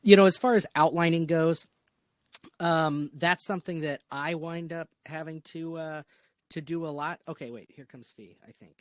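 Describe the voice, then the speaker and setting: A man's voice: a little high, steady tone, moderate pace, no room sound. A man hosting a casual podcast discussion in an affable, encouraging, chatty way. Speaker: podcast host. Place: indoors on an internet video call.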